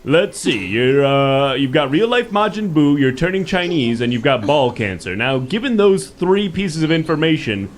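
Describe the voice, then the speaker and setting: A man's voice: on the high side, sing-song, moderate pace, hardly any pauses. A man hosting a live improv comedy game show in a mock-grand announcer manner, often laughing through his lines.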